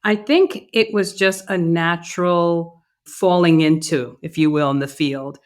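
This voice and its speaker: A woman's voice: on the low side, fairly even intonation, a little slow. A woman in her fifties from Cuba, whose first language is Spanish, giving reflective interview answers with fillers and restarts. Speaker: podcast guest, DEI consultant.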